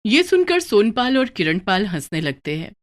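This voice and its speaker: A woman's voice: mid-range voice, sing-song, normal speed. A woman storyteller reading scripted narration for a children's moral tale.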